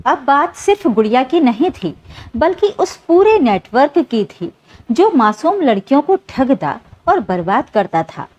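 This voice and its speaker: A woman's voice: high voice, sing-song, measured pace, darkish tone, muffled recording. A woman reading a scripted dramatic story as narrator, in an emotive storytelling manner.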